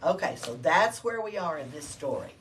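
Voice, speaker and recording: Expressive, lower-pitched woman reading to children. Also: moderate pace. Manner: teacherly, prompting, expressive. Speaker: library story-time reader. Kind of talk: read-aloud to children with questions. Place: library room with children. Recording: faint background room noise.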